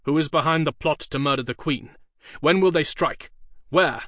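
A man's voice: fairly high pitch, normal speed, fairly bright tone, no echo, studio quality, pitched above the performer's own voice, natural voice. Storybook spymaster, ruthless and insistent, clipped questions; male narrator. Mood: interrogating. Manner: curt, insistent interrogation.

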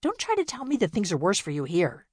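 A woman's voice: medium pitch, sing-song, a little fast, no room sound, voice pushed up. A woman voicing a hot-tempered young woman who argues defiantly.

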